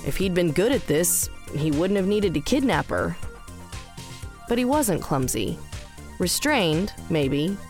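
A woman reading scripted story narration for audio fiction. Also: low voice. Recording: roomless dry studio, faint music underneath. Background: faint music.

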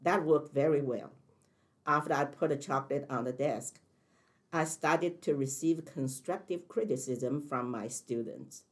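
Low-voiced older woman with a Chinese accent. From China. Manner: careful, measured delivery. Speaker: math teacher and coach. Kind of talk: interview answers, read from prepared text.

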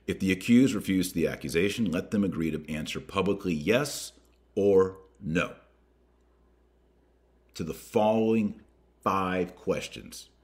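An American man hosting a podcast, reading a document aloud and commenting on it with deliberate emphasis. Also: sits low. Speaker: podcast host.

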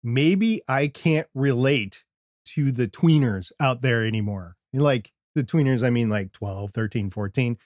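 An older man with a North American accent talks as a podcast co-host. His delivery is casual and animated, wandering into self-deprecating asides. The sound is dry, with very little room on the voice. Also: mid-range voice, a little slow, no echo. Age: older, over 50. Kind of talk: casual discussion with examples.

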